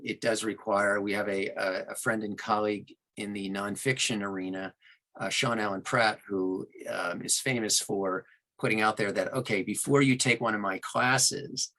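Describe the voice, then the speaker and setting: Bright, forceful male interview guest. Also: on the low side, fairly even intonation, normal speed, rough recording. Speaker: audiobook narrator and producer, podcast guest. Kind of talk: conversational interview talk.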